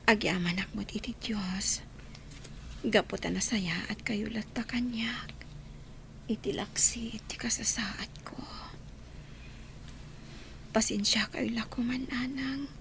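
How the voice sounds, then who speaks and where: A woman playing a gravely ill young wife in a radio drama, speaking in a weak, breathy, sorrowful whisper. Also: fairly high pitch, steady tone, slow pace, long pauses, fairly bright tone, a little hiss or hum, heavily acted. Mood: grateful, sorrowful. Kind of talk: radio drama acting in Ilocano.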